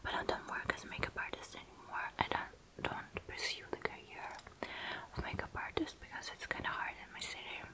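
Whispering Russian-accented young woman. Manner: soft whisper, close to the mic, halting with fillers. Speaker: ASMR host. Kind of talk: whispered ASMR Q&A. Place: small dark room indoors.

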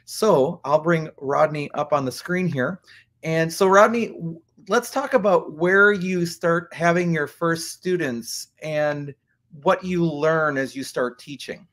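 A middle-aged podcast host speaks to his guest over a webcam call from a small studio. His voice sits a little high for a man, and he keeps his turns short, with friendly interjections. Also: takes it slowly, fairly bright tone. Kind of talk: interview hosting. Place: indoor studio with blue backdrop.

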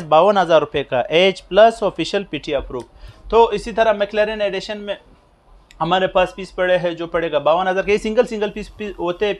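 A man in his thirties with a Pakistani Urdu accent, filming in a small phone shop and briskly listing prices with many filler pauses, on a lapel mic. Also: high voice.

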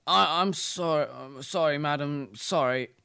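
A man's voice: on the high side, vocal fry, sharp tone, clean tone, full character voice. A young man playing a deferential, drunken butler who stammers and halts, in an amateur radio play. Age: young adult. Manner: slurred, stuttering, halting.

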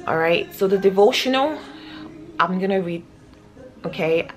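A woman's voice: mid-range voice, animated, unhurried, faint music behind, rough recording. A young woman in her twenties from Nigeria, with a Nigerian accent, talking casually and earnestly into a close camera in a small bedroom, rambling with fillers as she reads a devotional. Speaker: vlogger. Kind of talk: casual devotional vlog, praying and reading aloud. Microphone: camera close up at face level.

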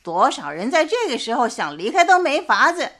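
A woman's voice: high voice, big pitch swings, a little fast, fairly bright tone, full character voice. An actress playing a blunt, exasperated middle-aged mother in a radio drama, scolding in colloquial rhetorical questions. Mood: scornful.

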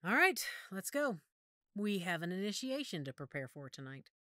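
A woman playing a motherly, firm female werewolf pack leader in an audio drama, measured and authoritative. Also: mid-range voice, very animated, a bit bright. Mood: warm, resolved.

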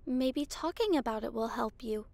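Woman voicing a teasing schoolgirl roommate, high voice, playful, fan dub. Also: lively intonation, moderate pace, darkish tone. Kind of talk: amateur anime fan dub.

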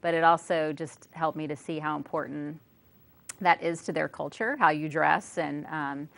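Low-voiced woman telling a lively story. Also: moderate pace, gravelly. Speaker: guest teacher. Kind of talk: interview storytelling. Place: small TV studio. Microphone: lapel mic.

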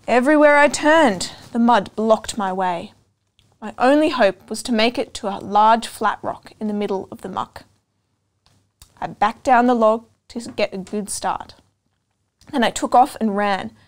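A young adult woman reading a children's storybook aloud, expressive and deliberate, pausing to put questions to listeners. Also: medium pitch. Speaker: storytime reader. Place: indoor room with couch and bookshelves.

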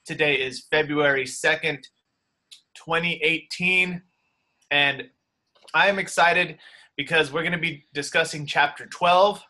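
A man's voice: on the high side, unhurried, pushed and bright. A man in his thirties hosting a group video call from his home office, upbeat and welcoming. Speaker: host.

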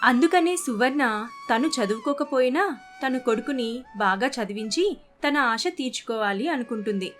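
A woman's voice: on the high side, lively intonation, normal speed, fairly bright tone, a little hiss or hum. A woman narrator telling a scripted moral family story. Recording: faint music bed behind the voice.

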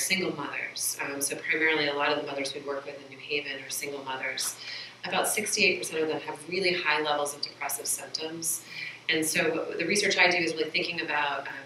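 A woman's voice: sits low, sharp tone, a little hiss or hum. A woman in her forties giving an academic presentation at a podium microphone, earnest and gesturing, with many fillers. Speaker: researcher panelist. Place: meeting room, indoors. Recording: echoey room, voice from a distance.

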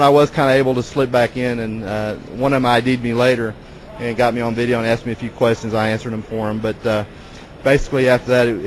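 A middle-aged American man chatting casually as co-host of a live webcast, on a handheld microphone. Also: poor audio. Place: large concrete backstage area indoors. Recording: clear ambient noise, backstage rumble.

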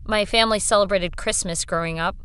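A woman's voice: mid-range voice, a little fast, a bit bright, clear, resonant tone, lightly acted. An actress playing a weary, plain-spoken, impatient young woman in a naturalistic audio drama. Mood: subdued, explaining.

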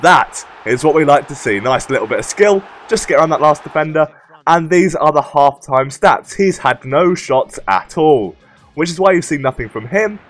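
A young man hosting casual game commentary, his voice croaky and rough from a sore throat, chatty and upbeat. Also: a little high, expressive, normal speed, faint music behind. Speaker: gaming channel host.

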